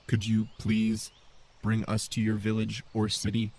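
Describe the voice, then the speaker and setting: A man's voice: fairly low pitch, completely flat delivery, unhurried, a little mellow. A man voicing a wry, formal male AI companion in a sci-fi audiobook, with a dry and polite manner.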